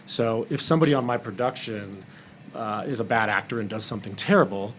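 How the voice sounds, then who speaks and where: Man, low voice, candid producer at a roundtable, informal delivery, slight background noise. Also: fairly quick. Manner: informal, plain-spoken, explanatory. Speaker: film and TV producer on a panel.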